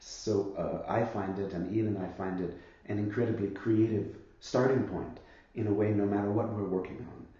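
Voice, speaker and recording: A husky man in his fifties with a cold speaks in a low, mellow voice, weighing each thought and circling back as he goes. The sound is muffled and heavily echoey, like a stream from a bare room. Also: steady tone, normal speed, hardly any pauses. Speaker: guest, actor and theatre director. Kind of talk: reflective conversation about his career.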